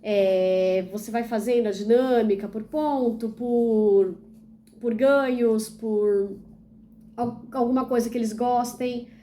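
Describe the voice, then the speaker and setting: Young woman, teacher trainee from Brazil, fairly high mellow voice, faint room hum. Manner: explains step by step, asking and answering her own questions. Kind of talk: instructional demonstration video.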